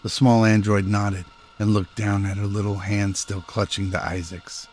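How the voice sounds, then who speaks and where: A man's voice: lowish voice, little pitch movement, normal speed, darkish tone. A man reading scripted narration for a radio-style drama. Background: music.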